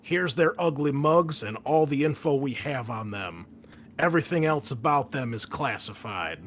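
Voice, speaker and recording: A man voicing a gruff, foul-mouthed Marine commander giving emphatic orders in a tabletop game briefing. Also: mid-range voice, expressive, normal speed, a bit bright, soft background music, lightly acted. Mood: stern.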